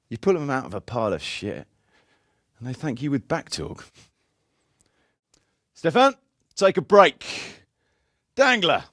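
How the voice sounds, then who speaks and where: A seasoned medieval mercenary captain for a video game. He talks bluntly and coarsely, used to giving orders, with a wry streak. His mid-pitched voice swings widely up and down, in a dry, close recording. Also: fairly bright tone, no room sound. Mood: scornful, amused, then commanding. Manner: blunt, coarse, commanding, colloquial. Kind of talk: video game character voice acting.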